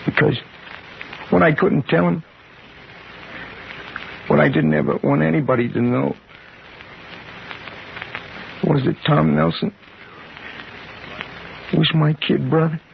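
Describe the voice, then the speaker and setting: A man's voice: fairly high pitch, unhurried, creaky, pauses often. An actor playing a loyal, simple, lonely man with a grim secret in a 1950s radio drama. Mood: mournful, confiding. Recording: muffled, hissy old radio recording.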